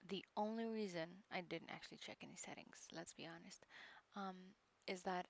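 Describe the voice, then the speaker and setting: A woman with a low speaking voice chatting casually on a live stream as she works through a course, hesitant and full of fillers and muttered asides. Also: steady tone, normal speed, creaky. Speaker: livestreamer studying a course.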